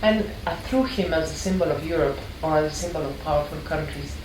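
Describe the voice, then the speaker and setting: Older lady, low voice, earnest storytelling, sounds far off in a roomy space w/ background hum. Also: a little fast. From Ecuador. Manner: reflective, earnest, with frequent restarts. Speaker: art history teacher. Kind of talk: personal storytelling interview. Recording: echoey room, mic set back, background noise.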